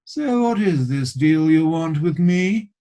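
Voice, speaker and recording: A man's voice: a little high, expressive, takes it slowly, dark tone, voice pushed up. Man putting on a posh, regal sloth leader. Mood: stately. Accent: posh British. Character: regal, busy sloth supreme leader with paws.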